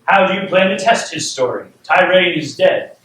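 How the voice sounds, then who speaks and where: Man playing a loud, high-voiced storybook king. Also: lively intonation, normal speed. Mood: imperious. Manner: loud, imperious. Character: stern, commanding storybook king passing judgment. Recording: roomy, distant, faintly noisy.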